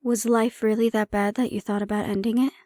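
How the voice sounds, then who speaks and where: A woman playing a prickly but caring handler in an intimate audio roleplay, speaking in a measured, probing way. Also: medium pitch, level tone, dark tone, dry recording, studio quality, natural voice. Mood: curious, probing. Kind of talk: intimate audio roleplay acting, one-sided conversation.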